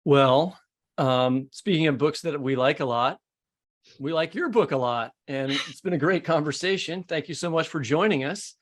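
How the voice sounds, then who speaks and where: A man's voice: a little high, moderate pace. A man speaking casually as a podcast host. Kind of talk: casual hosting.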